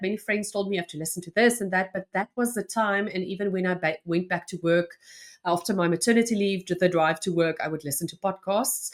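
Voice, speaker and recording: A woman from South Africa, an Afrikaans native speaker with a South African accent, answering interview questions earnestly with frequent restarts. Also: mid-range voice. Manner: earnest, with restarts and soft laughs. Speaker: podcast guest.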